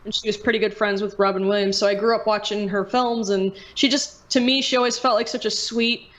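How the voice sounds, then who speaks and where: A woman's voice: mid-range voice, steady tone, fairly quick, poor audio. A woman host in a casual podcast chat, speaking candidly and informally and swearing freely.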